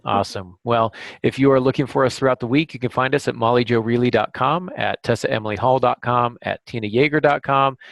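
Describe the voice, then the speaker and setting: A man hosts a relaxed podcast conversation in a medium-pitched voice with a noticeable creak. He pauses often while finding his phrasing. The sound is dry, with very little room. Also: no echo. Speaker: podcast host and novelist. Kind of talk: casual writing podcast discussion.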